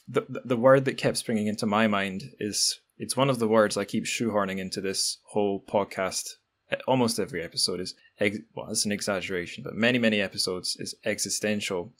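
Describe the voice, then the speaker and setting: Male podcast host, lower register, conversational and discursive, corrects himself mid-sentence. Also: steady tone, brisk, fairly bright tone. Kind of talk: casual conversational interview.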